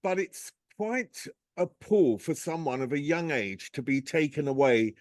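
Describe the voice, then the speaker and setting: A man's voice: on the high side, expressive, a little slow, no echo, studio quality. A man hosting an interview, asking thoughtful, encouraging questions and sharing his own memories. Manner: thoughtful, encouraging questioner who shares personal anecdotes. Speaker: interview host.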